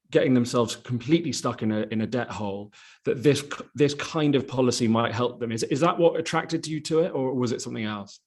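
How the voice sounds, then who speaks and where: A man hosting an online panel discussion over a video call, asking questions in a conversational, slightly halting way. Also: mid-range voice, a little mellow. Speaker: host, moderator.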